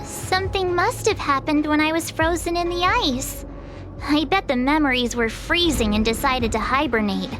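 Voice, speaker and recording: Casting: a bubbly teenage girl, very high voice, casual and expressive anime game heroine, soft music behind. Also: moderate pace. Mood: musing, playful. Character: perky, cheerful teenage girl adventurer. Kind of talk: anime-style video game voice acting.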